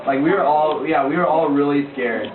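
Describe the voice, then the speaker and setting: A teenage boy earnestly telling his faith testimony as a story, with lots of filler words and repetition. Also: normal speed. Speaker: youth sharing testimony. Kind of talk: casual spoken testimony to a group. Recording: echoey, distant, tinny low-fi recording with background noise.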